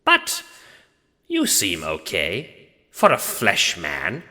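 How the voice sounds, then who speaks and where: A man voicing a huge skeletal Death in an audio drama, gruff and growling, bored, blunt and crude. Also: low voice, huge pitch swings, extremely slow, bright, forceful tone, in a large hall, exaggerated voice. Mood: bored, resigned. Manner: blunt, dismissive, swearing. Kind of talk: audio drama character acting.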